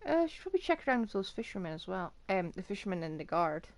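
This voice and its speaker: Lively woman streamer thinking aloud. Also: mid-range voice, fast talker. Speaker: game streamer. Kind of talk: casual live game commentary. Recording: game music behind the voice.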